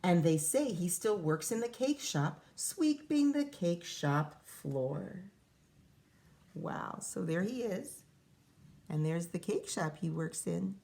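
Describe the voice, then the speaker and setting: A woman reading a rhyming picture book to children as their teacher, expressive, with casual explanatory asides. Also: on the low side, slow.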